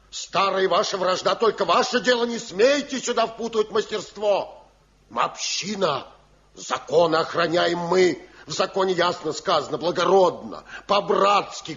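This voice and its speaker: An authoritative guild elder in an old radio drama. His fairly high voice lays down order with measured, commanding oratory. The recording is dull and lo-fi. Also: a bit bright, full character voice. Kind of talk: Soviet radio drama acting.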